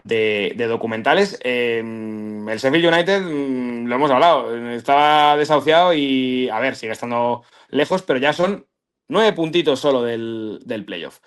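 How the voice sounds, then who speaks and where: Man, casual football podcast chat, rambling delivery, mid pitch. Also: smooth. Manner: rambling, with frequent restarts and slang. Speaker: podcast co-host.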